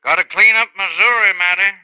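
A shrewd American frontier sheriff in an old radio western. His fairly high voice is hard and very bright as he needles outlaws in terse, folksy phrases. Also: brisk, clean tone, low-quality recording. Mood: coaxing. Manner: terse, folksy, insistent drawling talk. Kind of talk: 1950s radio western acting. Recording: 1950s radio recording.

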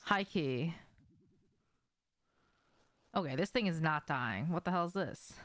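A woman's voice: low voice, lively intonation, slow pace, a bit bright. A young woman chatting casually as she streams a game, informal and prone to swearing, speaking to her viewers in a small room. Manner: informal, swearing, addressing viewers and reacting to gameplay. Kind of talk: casual livestream chat while gaming. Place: small indoor room at home.

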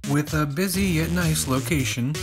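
A man's voice: a little high, normal speed. A man reading a scripted restaurant review as a voice-over narration. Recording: loud music under the voice. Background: loud music.

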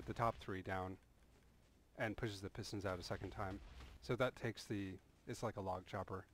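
A man's voice: fairly low pitch, slight background noise. A man casually talking through a game build in a voice-over, rambling a little with restarts and fillers. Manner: relaxed, rambling explanation with frequent restarts and "uh". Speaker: game tutorial creator.

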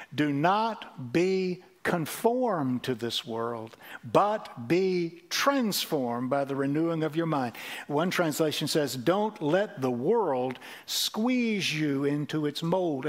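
Man, 60s, senior pastor, earnest sermon voice on a headset mic, a touch high-pitched. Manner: earnest preaching with wide gestures and repeated phrases. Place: church stage with a lectern.

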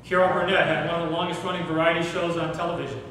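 A middle-aged man giving a workshop talk on public speaking, animated and asking the audience questions in a hotel conference room. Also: a little high, rapid-fire, a crowd in the background. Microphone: far camera, no mic seen. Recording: echoey room recorded from far off.

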